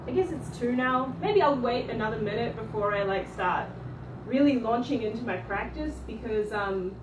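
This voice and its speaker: A woman from New Zealand, an art teacher, chatting casually through a livestream tour of her studio, often pausing with ums and restarting her sentences. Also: a little high, animated, noisy background. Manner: hesitant, many ums and restarts. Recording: echoey room, voice from a distance.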